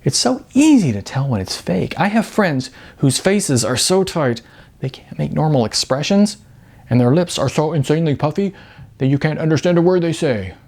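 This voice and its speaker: Audiobook role: blunt, chatty female modeling agent, played by a man, lifted pitch, very lively delivery, gravelly edge. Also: normal speed. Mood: wry, candid. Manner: emphatic, patronizing, chatty, uses endearments. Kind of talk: audiobook character dialogue.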